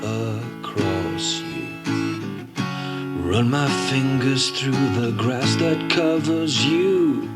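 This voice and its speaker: A woman singing a mournful song in drawn-out phrases. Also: extremely low pitch, lively intonation. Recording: singing over guitar music.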